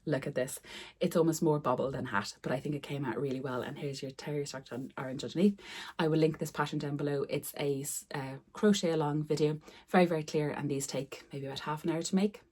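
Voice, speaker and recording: Hoarse low-voiced woman vlogging. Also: fairly even intonation, brisk, a little mellow. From Ireland. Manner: friendly, repeats words for emphasis. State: losing her voice, hoarse. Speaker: sewing vlogger. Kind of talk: casual chatty vlog. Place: room upstairs at home.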